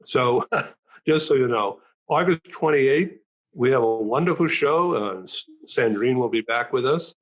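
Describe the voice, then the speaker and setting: A man hosting a talk show over a video call, thanking guests and announcing upcoming shows, talking haltingly with many fillers. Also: medium pitch, lively intonation, highs cut off.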